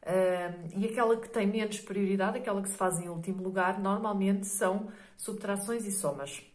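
A hoarse middle-aged woman with a European Portuguese accent, teaching a maths lesson patiently, checking in often with "ok?" and many fillers. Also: medium pitch, fairly even intonation, moderate pace, reverberant. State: hoarse from a cold.

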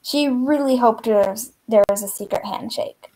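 Very high teenage girl's reading voice. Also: moderate pace, mellow, low-quality recording. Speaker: drama club reader. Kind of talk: reading a picture book aloud. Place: indoors on a video call.

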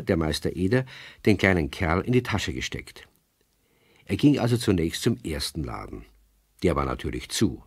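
A man's voice: low-pitched, no room sound, clean studio sound, exaggerated voice. A man playing the storyteller of a children's radio play, reading scripted narration.

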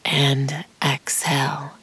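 A woman narrating a guided meditation in a calm, soothing, measured way, with a soft, breathy voice that often sinks to a near-whisper. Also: a bass voice. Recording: birdsong and forest ambience behind. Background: birdsong, insects, nature ambience.

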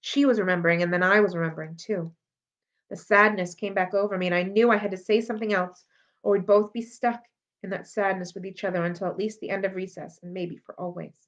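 A woman's voice: mid-range voice, fairly even intonation, fast talker. A woman reading a children's novel aloud expressively, as a library storyteller. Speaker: library read-aloud reader. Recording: dull lo-fi audio.

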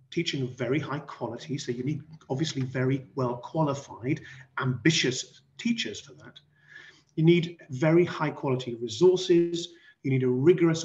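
A man's voice: medium pitch, a little mellow. Middle-aged man presenting, dull online-call audio. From West Wales. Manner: explanatory, rhetorical questions, emphatic repetition. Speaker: college head. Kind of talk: online presentation to prospective families. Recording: dull band-limited video call audio.

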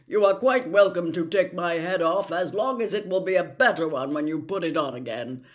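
Older lady doing a loud excited scarecrow guy in a storybook, bouncy and earnest. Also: fairly low pitch, normal speed, close to an everyday voice. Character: eager cheerful male straw scarecrow.

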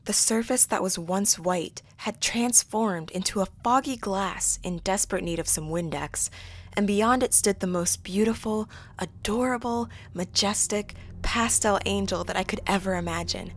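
A woman's voice: medium pitch, normal speed, a little hiss or hum, natural voice. A young woman playing a lonely, wry student who narrates an introspective audio drama. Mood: wry wonder. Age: young adult. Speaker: lead and narrator.

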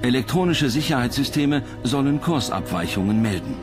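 A man narrates a documentary in scripted style. His pitch is medium, and music plays clearly behind his voice. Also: expressive, moderate pace.

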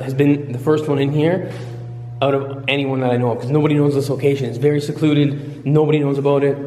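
A man's voice: mid-range voice, a little mellow. A man in his thirties from Canada, casually narrating his walk through an abandoned building to his viewers, rambling and chatty on a handheld camera mic. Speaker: urban explorer vlogger. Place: large empty abandoned building indoors. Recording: echoey empty room with faint hum.

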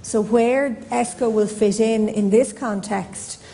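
A middle-aged woman explains her view on a conference panel in a measured way, with informal asides. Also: on the high side, fairly even intonation, vocal fry, mellow. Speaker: panelist, guidance professional. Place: indoor conference hall stage.

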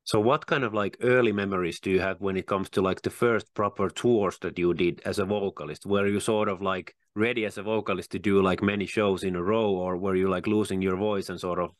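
A man's voice: low-pitched, fairly even intonation, a little fast, few pauses, dry recording, clean studio sound. A man hosting a video-call interview, asking long questions padded with fillers. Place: room with shelves of CDs, indoors. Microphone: handheld mic on video call.

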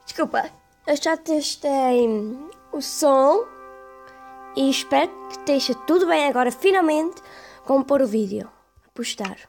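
Brief: hoarse Portuguese woman gamer, high pitch, slow and hesitant, faint music behind. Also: a little mellow. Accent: European Portuguese. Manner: rambling, hesitant. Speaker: gaming youtuber. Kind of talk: casual let's play commentary. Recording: voice over game music.

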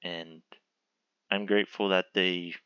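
A young man hosting his channel, explaining its dragon mascot in a relaxed, rambling way with lots of fillers. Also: low-pitched, slow, clean tone, dry recording, muffled recording. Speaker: channel host. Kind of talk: casual talk to camera about a channel mascot.

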